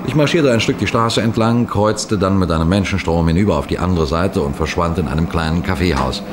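A man playing a composed, clever police detective, recounting a case in measured, precise radio mystery narration. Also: sits low, noisy background, natural voice. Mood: measured, suspenseful. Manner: measured, precise, deliberate storytelling.

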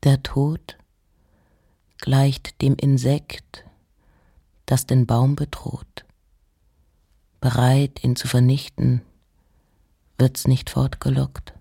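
A reader delivering letters and poems in a measured, hushed and breathy voice, with deliberate pauses. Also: mid-range voice, monotone. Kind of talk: literary reading of letters and poems.

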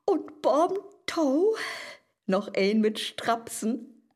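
A bold, cunning woman in a comic radio play, speaking northern Low German. Her husky voice swings widely from theatrical exclamations to conspiratorial near-whispers, all in rhyme. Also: very animated, drawn-out words, a little mellow, heavily acted. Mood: gleeful.

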